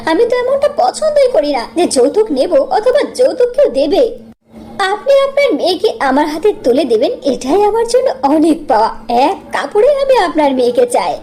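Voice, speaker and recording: Woman acting gracious wealthy mother, effusive, approving, high pitch, lively melody, cartoon drama. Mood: earnest. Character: gracious wealthy mother seeking brides for her sons. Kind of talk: animated story voice acting.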